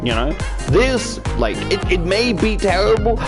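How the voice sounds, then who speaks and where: A man's voice: high voice, big pitch swings, a little slow, over music. A man giving a casual, rambling game review in a profane, exasperated, ranting manner with emphatic repetition. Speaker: video game reviewer, YouTuber.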